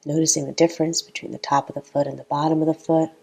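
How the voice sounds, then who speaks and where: A woman leading a guided meditation in a calm, gentle, unhurried manner with long pauses between phrases. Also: low voice, creaky, slightly rough.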